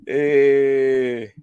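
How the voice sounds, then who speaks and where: A man's voice: mid-range voice, clean tone, no room sound. A man giving casual live cricket commentary, full of repeated words and "you know" fillers.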